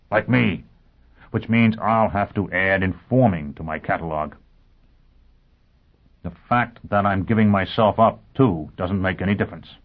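A man voicing a grim, bitter criminal tormented by conscience in an audiobook, speaking with grave intensity. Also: sits low. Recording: narrow phone-like sound.

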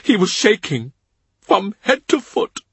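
A man doing a weeping, high-voiced old servant. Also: sing-song, takes it slowly, fairly bright tone, breathy edge, no echo, full character voice. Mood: tearful. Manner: tearful, breathless storytelling. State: crying. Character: grieving male servant, weeping, tearful gentleman. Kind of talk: audiobook character reading.

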